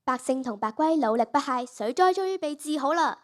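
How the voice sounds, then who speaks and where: A woman's voice: sits high, animated, fairly quick, soft background music. A primary school girl with a Hong Kong Cantonese accent narrating a story in dramatic style. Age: child, primary school student.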